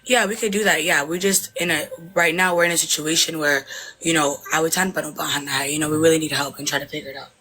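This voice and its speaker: A young woman from Somalia speaks in a bright, tense voice. She hesitates often, trailing off and restarting, and swaps between Somali and English mid-sentence in a casual conversation. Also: deep voice, steady tone, fast talker, low-quality recording. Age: 20s. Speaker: interviewee.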